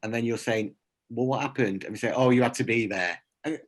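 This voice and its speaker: A man's voice: mid-range voice, a little fast. A man speaking conversationally as a workshop presenter, explaining through anecdotes and little examples of dialogue. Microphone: video call.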